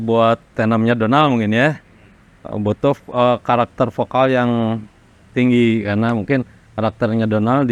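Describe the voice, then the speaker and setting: A man from Indonesia, a rock singer, casually recalling his career in an interview in a halting, reflective way. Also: mid-range voice, clean tone, no room sound. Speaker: rock vocalist being interviewed. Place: studio workroom.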